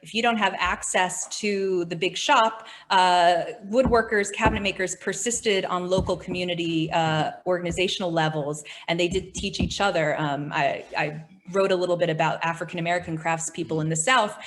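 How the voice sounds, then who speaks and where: A woman on a museum panel, answering audience questions in measured, scholarly explanations. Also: on the low side, steady tone, a bit bright, a little hiss or hum. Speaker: furniture historian answering questions.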